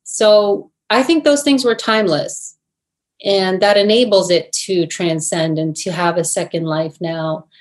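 A middle-aged woman talks through her answers slowly and thoughtfully, pausing often and restarting her sentences. She is from New Jersey, and her voice sits at an ordinary pitch. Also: steady tone. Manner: thoughtful, hesitant, many fillers and restarts. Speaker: actress, guest. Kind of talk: interview answers.

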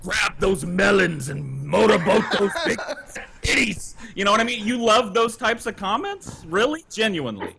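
A man's voice: high-pitched, sing-song, moderate pace, crowd noise. A man doing comedic podcast banter in a teasing, theatrical manner. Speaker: podcast co-host. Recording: clearly noisy mic with clicks.

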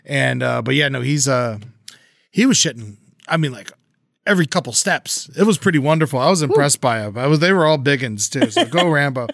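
A man's voice: medium pitch, lively intonation, brisk, gravelly, fairly bright tone, no room sound, clean studio sound. A man co-hosting a comedy podcast, telling profane, rambling stories and running a game. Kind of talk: crude comedy podcast banter. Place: podcast studio.

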